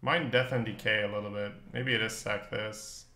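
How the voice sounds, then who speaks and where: A man's voice: lowish voice, steady tone, normal speed, bright, forceful tone, echoey room, a little hiss or hum. A man from northern Belgium whose native language is Dutch, chatting casually and thinking aloud with some swearing as he streams a game. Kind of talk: casual live game commentary, chatting with viewers.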